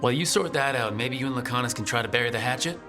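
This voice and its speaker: An actor playing a steady, encouraging leader of a band of heroes in a video game. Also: male voice, mid-range voice, rapid-fire, over music, close to an everyday voice.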